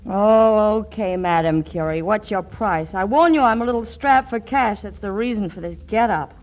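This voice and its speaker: A woman's voice: mid-range voice, normal speed, muffled recording, close to an everyday voice. An actress playing a frank, streetwise young woman in a 1940s radio comedy. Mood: resigned, wry. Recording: noisy vintage radio recording.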